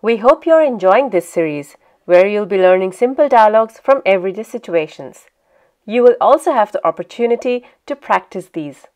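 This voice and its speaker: A woman's voice: medium pitch, moderate pace. Woman narrating a language lesson. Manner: clear, steady instructional delivery.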